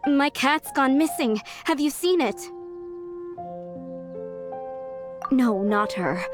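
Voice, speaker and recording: A woman's voice: sits high, lively intonation, measured pace, music in the background. A woman playing a friendly, fretful young townswoman in a video game. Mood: worried. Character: friendly, fretful young female cat-loving barkeeper. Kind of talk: English video game voice acting.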